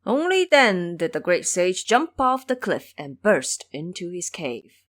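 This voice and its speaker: A woman's voice: on the low side, very animated, measured pace. A woman giving scripted narration for a fantasy audio drama.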